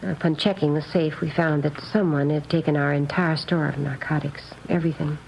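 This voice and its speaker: An actress playing an earnest, naive and very proper nun in a 1950s radio drama, speaking in careful, precise phrases. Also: female voice, deep voice, fairly even intonation, fairly quick, vocal fry, a little mellow. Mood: prim, fussy. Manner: prim, proper, carefully enunciated. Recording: dull old radio recording, faint noise.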